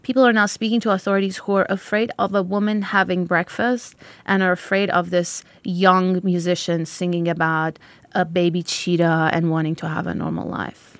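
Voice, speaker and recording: A woman giving a steady spoken report on a radio program. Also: fairly low pitch, normal speed, no room sound. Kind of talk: radio commentary.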